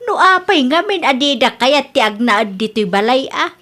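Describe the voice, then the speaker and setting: A woman's voice: sits high, expressive, moderate pace, a bit bright. A woman playing a worried, practical older wife and mother in an amateur radio drama. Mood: concerned.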